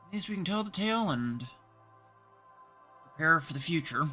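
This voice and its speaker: A man's voice: fairly high pitch, animated, moderate pace, bright, forceful tone, soft background music. A man from Canada casually commentating as he livestreams a game, thinking aloud in short remarks. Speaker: livestreaming gamer.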